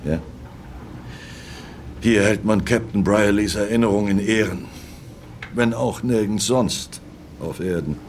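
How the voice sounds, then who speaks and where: Deep-voiced, brooding old seaman. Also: slow pace, long pauses, darkish tone, slight background noise. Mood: mournful reminiscing. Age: older. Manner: ruminative, reminiscing, repeats himself. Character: brooding old merchant seaman, loyal, grieving his captain. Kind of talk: radio play monologue, storytelling.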